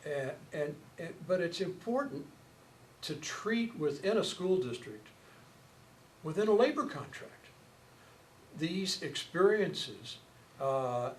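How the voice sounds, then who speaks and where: Halting older American man, higher-pitched voice. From Chicago, United States. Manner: halting, thinking aloud with repeated words. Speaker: lecturer, education researcher. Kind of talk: informal seminar question and answer. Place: seminar room, at a wooden podium. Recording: noticeable background room noise.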